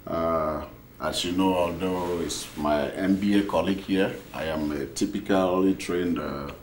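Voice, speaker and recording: A very deep-voiced man from Cameroon speaks in a measured way, pausing often with fillers. He sounds set back from the mic in an echoey room, with faint background noise. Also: recorded from a distance. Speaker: poet and author. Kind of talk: panel discussion answer.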